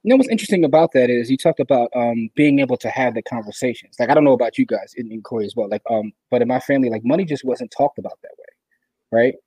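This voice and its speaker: A man's voice: medium pitch, quick pace, dark tone, slightly rough, dry recording, low-quality recording. A man hosting a podcast interview, chatty and casual, filling his talk with "like" and "you know". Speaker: podcast host.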